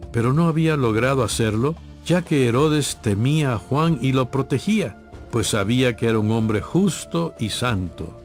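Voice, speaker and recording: A man narrates scripture as a solemn story. His voice sits at a medium pitch with careful phrasing, and music plays clearly beneath the reading. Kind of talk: scripted dramatized Bible narration.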